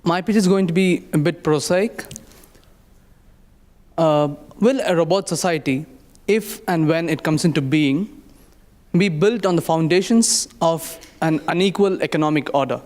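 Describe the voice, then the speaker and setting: A man from India gives a formal, measured pitch. His voice sits a little high and has a long reverb from a large hall. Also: a little mellow. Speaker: speaker pitching a talk.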